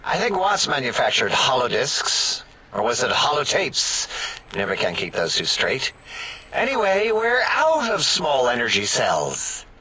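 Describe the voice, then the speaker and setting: An actor playing a touchy, fussy, whining robotic brain scientist in a sci-fi comedy game. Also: male voice, medium pitch, wildly swooping pitch, measured pace, bright, forceful tone, breathy edge, exaggerated voice, low-quality recording. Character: whiny, touchy, nerdy robotic brain scientist, easily wounded.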